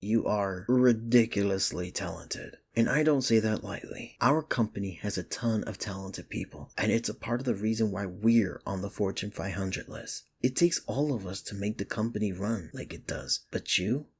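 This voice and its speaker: Man acting as gravelly, husky, sardonic office rival. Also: fairly low pitch, moderate pace, few pauses, darkish tone. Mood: earnest, admiring. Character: sardonic, secretly caring young male office rival. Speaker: ASMR voice actor. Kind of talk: intimate ASMR romance roleplay.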